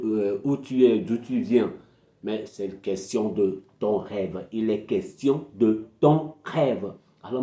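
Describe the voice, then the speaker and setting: A man's voice: on the low side, warm tone, reverberant. A man giving an emphatic, preachy motivational talk, repeating phrases for effect. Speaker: motivational speaker. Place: indoor room at a desk with a PC.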